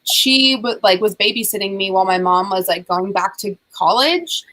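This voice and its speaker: A woman's voice: medium pitch, expressive, moderate pace, sharp tone. Chatty young woman on a video call. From United States. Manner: chatty, many "like" fillers. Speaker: host. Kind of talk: casual conversation over video call.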